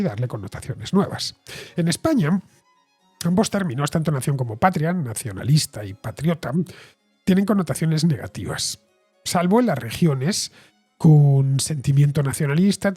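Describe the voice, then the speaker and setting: A middle-aged man from Spain with a Castilian accent, hosting a solo talk show and explaining ideas in a measured way with frequent hesitations. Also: fairly high pitch, darkish tone, husky. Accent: Castilian Spanish. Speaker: host and writer.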